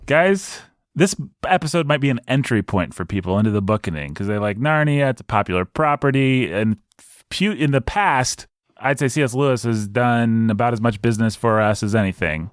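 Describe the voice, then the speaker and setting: Playful man hosting with a lively voice. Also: mid-range voice, normal speed, no echo, studio quality. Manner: playful, mock-grandiose introductions. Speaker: podcast host. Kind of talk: casual chat.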